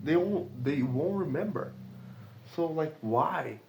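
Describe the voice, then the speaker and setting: A man's voice: on the high side, expressive, slow, vocal fry, a little mellow, slight background noise, poor audio. A man from Brazil, a remote sales rep speaking English with a Brazilian Portuguese accent, rambling casually with lots of fillers and swearing. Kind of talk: casual vlog monologue.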